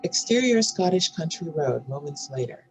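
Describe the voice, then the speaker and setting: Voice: mid-range voice, very animated, normal speed, darkish tone, faint music behind, rough recording. A narrator reading scene headings and stage directions from a screenplay in a scripted style. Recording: faint background noise and room ambience.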